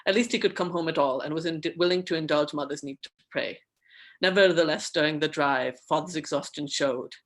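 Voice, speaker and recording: A man's voice: fairly high pitch, a little fast, a bit bright. An Indian American man, an author on a video call panel, introducing his work in an earnest, flowing way and reading a passage of fiction. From United States, Indian American.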